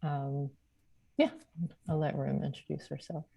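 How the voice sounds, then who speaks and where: Woman, from Jamaica, artist, low and dark voice, relaxed, easygoing. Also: measured pace, vocal fry, dry recording. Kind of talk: casual self-introduction.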